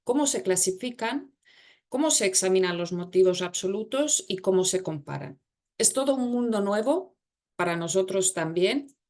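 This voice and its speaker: A woman's voice: mid-range voice, animated, moderate pace, darkish tone. Estonian woman presenting in a second language. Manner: careful, slightly hesitant, self-deprecating. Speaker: webinar speaker. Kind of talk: presentation in a webinar.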